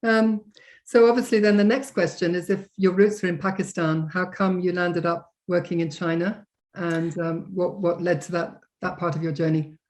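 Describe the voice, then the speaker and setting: A woman's voice: fairly low pitch, level tone, moderate pace, a little mellow. A woman hosting an interview, welcoming viewers and introducing a guest with many hesitant fillers. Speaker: interview host.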